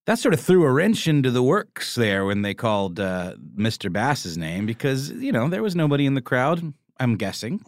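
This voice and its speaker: A man's voice: medium pitch, big pitch swings, normal speed, few pauses, dry recording, clean studio sound. An American man co-hosting a podcast with casual banter and commentary, jokey and playing along with feigned curiosity. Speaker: podcast co-host.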